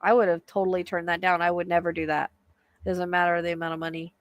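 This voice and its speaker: A woman's voice: on the low side, level tone, fast talker, dry recording. A woman hosting a casual live chat as a psychic medium, with a soothing voice and a chatty, hesitant way of talking full of fillers.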